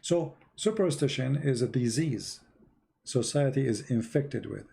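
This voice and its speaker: Host, male, English, gravelly and dark-toned, blunt emphatic delivery, repeats points. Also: mid-range voice, lively intonation, unhurried. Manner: blunt, emphatic, repeats words, non-native grammar. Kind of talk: live-streamed religious talk.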